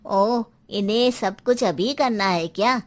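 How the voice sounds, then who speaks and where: A woman's voice: medium pitch, slow, lightly acted. A woman voicing a flustered, sarcastic, bickering neighbour in a cartoon story. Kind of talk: Hindi cartoon story acting.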